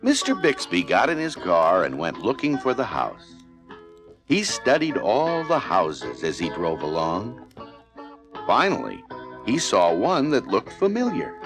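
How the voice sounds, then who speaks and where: Male children's storybook narrator, fairly low voice, highly animated intonation, music clearly behind. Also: measured pace. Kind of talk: scripted children's read-along narration.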